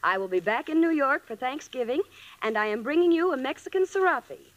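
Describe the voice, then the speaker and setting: A woman's voice: high-pitched, fairly quick, highs cut off. An actress playing a wisecracking, affectionate young American working girl in a 1940s radio sitcom. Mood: hopeful, exasperated. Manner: wry, animated, theatrical.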